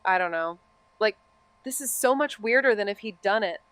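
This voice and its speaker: A woman's voice: medium pitch, lively intonation, normal speed, fairly bright tone, smooth, no echo, lightly acted. A woman acting a wry, profane young amateur detective in a noir audio drama, moving between casual banter and narration. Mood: intrigued, wry.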